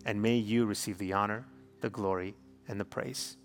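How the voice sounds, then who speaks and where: Pastor preaching in a reverberant church. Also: male voice, lowish voice, fairly even intonation, normal speed, soft background music. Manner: warm storytelling, earnest pastoral delivery. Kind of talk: sermon with closing prayer. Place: large church sanctuary. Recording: long hall reverb.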